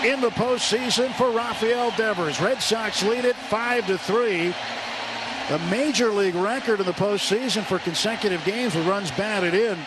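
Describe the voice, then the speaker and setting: A radio play-by-play man with a fairly high voice calls a baseball game. His phrasing is clipped and descriptive, ready to lift for big plays, and clear stadium noise sits behind him. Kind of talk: live baseball play-by-play commentary. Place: ballpark broadcast booth. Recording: radio broadcast with loud ballpark crowd noise.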